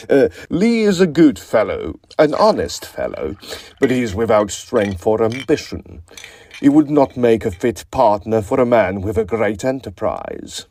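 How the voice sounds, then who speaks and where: A man's voice: mid-range voice, big pitch swings, measured pace, warm tone. An actor playing a suave, ingratiating and unnerving male student in a period audio drama, given to inflated, grandiose talk.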